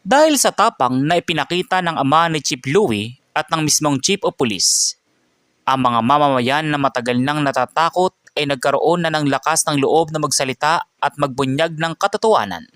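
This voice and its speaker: A man narrates a dramatic story in a steady, scripted reading voice that sits a little high for a man. The sound is close and dry, with no room at all. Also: normal speed, no room sound.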